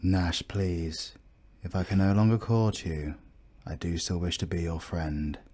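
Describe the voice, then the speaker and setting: Man playing a stiff British fantasy captain, low-pitched, dark-toned, formal, proud yet courteous, audio roleplay. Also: fairly even intonation. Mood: earnest, wistful. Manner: formal, measured. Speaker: voice actor.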